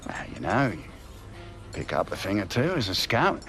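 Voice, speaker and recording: Evasive middle-aged dad, lowish expressive voice. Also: male voice, moderate pace, soft background music. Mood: coaxing, casual. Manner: casual, coaxing, evasive. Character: evasive, coaxing middle-aged father, a football scout. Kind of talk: video game cutscene drama.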